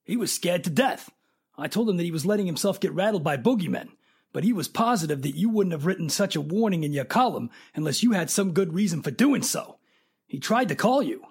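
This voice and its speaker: A man's voice: high voice, expressive, a little fast, darkish tone, husky, heavily acted. A man playing a defensive, menacing private detective in an old-time radio crime drama. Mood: uneasy, explaining.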